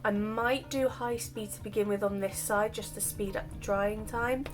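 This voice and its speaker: A woman's voice: mid-range voice, fairly even intonation, normal speed, soft background music. A woman chatting casually while she demonstrates styling her wavy hair, her voice just recovered from illness. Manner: chatty, self-deprecating, explains while doing. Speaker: hair vlogger. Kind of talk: casual hair tutorial chat.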